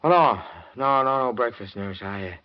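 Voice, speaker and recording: A man's voice: medium pitch, moderate pace, lightly acted. An actor playing a shaken, anxious male newspaper reporter who tells his ordeal in dramatic old-time radio noir style, on a vintage recording. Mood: hesitant, uneasy. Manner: dramatic, tense first-person delivery. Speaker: narrator and protagonist. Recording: dull vintage radio recording with loud music.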